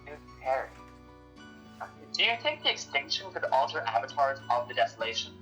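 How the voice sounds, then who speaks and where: A woman's voice: a bass voice, sing-song, brisk, sharp tone, poor audio. A woman in an in-character panel discussion, talking in a dry, pointed, challenging manner. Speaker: podcast panelist in character as a researcher. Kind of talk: semi-improvised in-character discussion of a horror audio drama. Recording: music playing behind the voice. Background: music.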